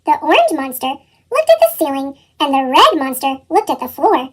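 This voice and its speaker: A woman's voice: sing-song, normal speed, fairly bright tone. A woman reading a scripted children's story, with her voice shifted up into a chipmunk effect. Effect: chipmunk pitch-shifted voice. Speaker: storybook reader.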